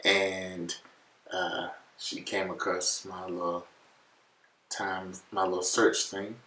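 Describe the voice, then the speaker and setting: A man, chatting in a laid-back, halting way with his partner on a vlog. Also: low voice, level tone, sharp tone, far from the mic. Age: 20s. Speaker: vlog co-host. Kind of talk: casual couple chat. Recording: roomy, mic set back.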